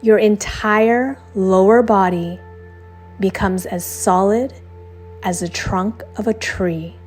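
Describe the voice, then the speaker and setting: A woman narrating a scripted guided meditation in a measured, soothing, instructional way. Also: mid-range voice, steady tone. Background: soft background music.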